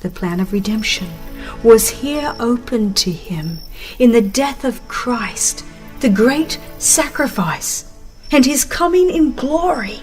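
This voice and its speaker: A woman reads scripted religious narration at a slow pace. Her delivery is solemn and measured, like a dramatized audiobook, with music clearly playing behind her. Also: high-pitched. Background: background music.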